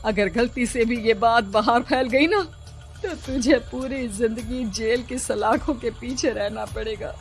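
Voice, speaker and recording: A woman's voice: a little high, a little slow, soft background music. A woman voicing a worried, scolding middle-aged mother in a horror cartoon story, concerned and reproachful. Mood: grave, anxious.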